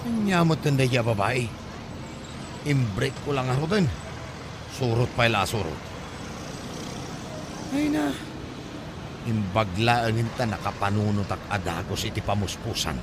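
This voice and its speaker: A man's voice: mid-range voice, sing-song, unhurried, long pauses, noisy background. A man acting an exasperated boyfriend worn down by a clingy partner in an Ilocano comedy drama, with an Ilocano Filipino accent and a complaining, whining delivery. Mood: scheming, muttering. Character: exasperated, hard-pressed boyfriend of a clingy woman.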